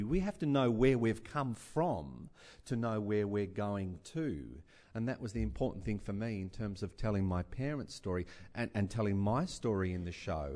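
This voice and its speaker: A man's voice: lowish voice, darkish tone. A man speaking on a writers festival panel, earnest and reflective, often restarting and repeating words as he thinks aloud. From Australia. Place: writers festival session before an audience.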